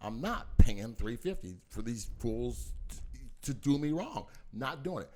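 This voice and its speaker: An older man makes his case in a heated family conversation. He talks emphatically, circles back on his points, and talks over anyone who cuts in. His voice sits at an ordinary pitch for a man. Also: big pitch swings, unhurried, dark tone, slight background noise. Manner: emphatic, repeats himself, insists on finishing. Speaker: father in family mediation.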